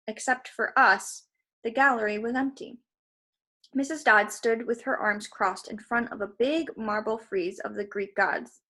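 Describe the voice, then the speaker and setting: A woman's voice: on the high side, a bit bright, slightly rough. A woman reading a story aloud expressively, with informal asides to her listeners. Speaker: storybook reader. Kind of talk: read-aloud narration with casual asides to listeners.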